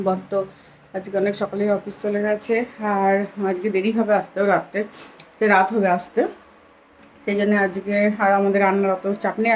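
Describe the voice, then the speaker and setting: A woman's voice: medium pitch, slight background noise, poor audio. A young woman, chatting casually as she narrates a daily home vlog. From India, Bengal region. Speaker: vlogger, mother of a small child.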